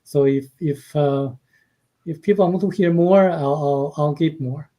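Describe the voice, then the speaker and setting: A man's voice: on the high side, a little slow, dark tone. A man from China with a Chinese accent, a radio interview guest speaking hesitantly with many fillers. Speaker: guest author and translator.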